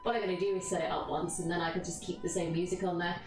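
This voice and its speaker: A woman's voice: fairly low pitch, flat delivery, very fast. A woman with a London accent leading a casual live yoga class, chatting and bantering informally with viewers. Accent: London English. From London, England. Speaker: yoga instructor and live streamer. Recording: echoey room, distant mic, music behind. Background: music playing.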